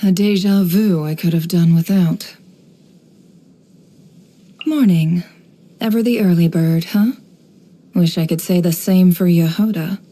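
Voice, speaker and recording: An actress voicing a sly, composed young woman, an intelligence broker in a video game, speaking in a measured, coolly knowing, teasing way. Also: on the low side, mellow. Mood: cool, cryptic, then relaxed, languid. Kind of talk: video game character voice lines.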